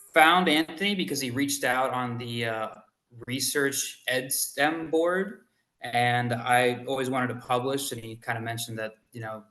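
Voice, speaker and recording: A man's voice: mid-range voice, sharp tone, reverberant. A man introducing himself casually as a team lead on a video call, upbeat with filler words.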